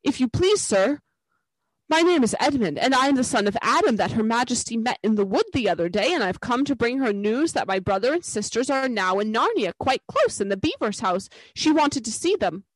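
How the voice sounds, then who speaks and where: A woman's voice: a little high, very animated, a little fast, no echo, pitched above the performer's own voice, poor audio. A woman voicing a timid young boy, polite, nervous and eager to please, in a storybook reading.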